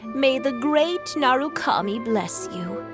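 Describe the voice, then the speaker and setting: Slow-talking, kindly elderly grandmother. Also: sits high, lively intonation, loud music behind the voice. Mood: gentle, grateful. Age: older. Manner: polite, gentle, unhurried, reverent. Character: kindly, grateful, devout elderly grandmother visiting a shrine. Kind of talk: video game voice acting.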